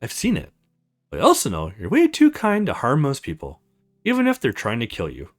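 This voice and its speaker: A man voicing a kind, self-effacing young witch woman in a visual novel game, softly reassuring. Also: mid-range voice, wildly swooping pitch, fairly quick.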